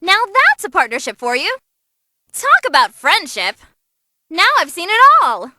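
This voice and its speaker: A woman's voice: very high-pitched, very animated, measured pace, bright, forceful tone, no echo, clean studio sound, exaggerated voice. A woman playing a perky, bloodthirsty, non-human fight announcer in a game-style performance, theatrical and playful. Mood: wry commentary. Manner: gleeful, dramatic, playful. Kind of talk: excited ringside fight commentary.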